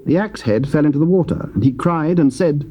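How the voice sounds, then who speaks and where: Man reading scripture slow and stately, dark mellow voice, faint tape hiss. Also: on the high side, expressive. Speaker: narrator.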